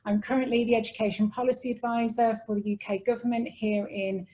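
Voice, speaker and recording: Mellow British woman presenting online. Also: a little high, steady tone, fairly quick, low-quality recording. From United Kingdom. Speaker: educator and webinar co-host. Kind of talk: webinar presentation. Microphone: video call.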